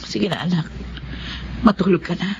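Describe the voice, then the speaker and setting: A woman's voice: on the low side, normal speed, a little mellow, highs cut off, slight background noise, natural voice. A woman acting a poor, anxious, devoted mother in an emotional Filipino audio drama, with a Filipino accent and a pleading manner. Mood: pained, worried.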